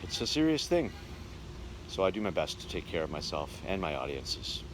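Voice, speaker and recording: A man's voice: deep voice, big pitch swings, normal speed. A man narrating a casual vlog as a touring musician, with a folksy, wry way of talking. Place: outdoors and in a vehicle. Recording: noisy outdoor and vehicle ambience.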